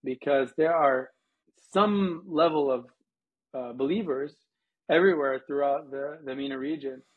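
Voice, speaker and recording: A man's voice: fairly high pitch, unhurried, breathy edge, phone-quality sound. An American man from Colorado answering interview questions conversationally, with anecdotes, as a guest from a missions organization. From United States, Colorado.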